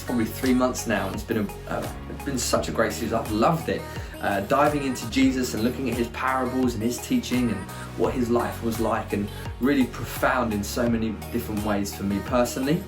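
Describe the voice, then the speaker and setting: An upbeat man leads a church and runs through its announcements, urging people to come along. He talks in a roomy space. Guitar music plays clearly behind him. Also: mid-range voice. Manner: upbeat, encouraging, many fillers. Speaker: church leader giving announcements.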